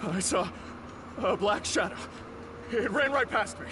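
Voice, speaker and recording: A man's voice: sits high, expressive, unhurried, noisy background. An actor playing a panicked, frightened townsman in a video game, shaky and exclamatory. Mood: terrified. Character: panicked frightened male townsman who saw a ghost.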